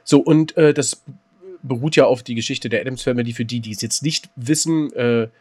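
A man's voice: medium pitch, brisk, a little mellow, slightly rough. A man co-hosting a joking podcast, trading dry, teasing banter. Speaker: podcast co-host. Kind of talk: joking casual chat.

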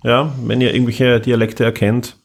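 A man's voice: medium pitch, little pitch movement, normal speed, vocal fry. A man with an Austrian accent hosting a podcast in casual, conversational moderating and review chat. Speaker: podcast host.

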